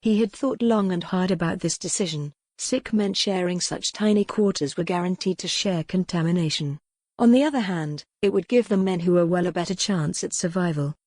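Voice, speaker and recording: A woman's voice: lowish voice, moderate pace, a little mellow, no room sound. A woman reading scripted narration as the narrator of a romance audiobook.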